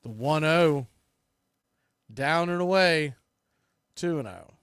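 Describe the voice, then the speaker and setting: A man's voice: on the high side, slow pace, clean tone, dry recording. Dry-sounding baseball play-by-play man. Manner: steady calls, rising excitement on big plays. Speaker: play-by-play announcer.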